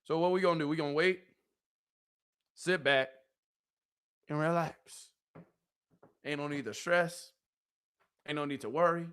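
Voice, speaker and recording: A man hosting a live watch-party stream, hoarse from yelling, talking animatedly to his chat and repeating catchphrases. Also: on the high side, measured pace, long pauses.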